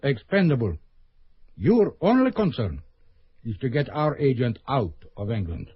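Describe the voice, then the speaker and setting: An actor playing a cold, scheming middle-aged KGB colonel in an old radio drama. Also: male voice, mid-range voice, very animated, unhurried, breathy edge, no echo, heavily acted. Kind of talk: 1970s radio drama acting. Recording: dull old radio recording.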